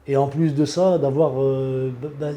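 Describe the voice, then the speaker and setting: A man's voice: mid-range voice, fairly even intonation, unhurried, dark tone, slight background noise. A man, giving an unscripted testimonial as a patient in an earnest, emphatic way. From Lyon, France. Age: 40s.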